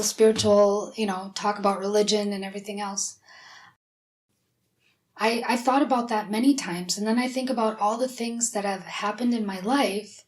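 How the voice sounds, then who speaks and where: Woman, raspy and breathy, chatty studio interview guest. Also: mid-range voice, a little mellow. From South Dakota. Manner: chatty, open storytelling. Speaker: whistleblower guest. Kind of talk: personal interview.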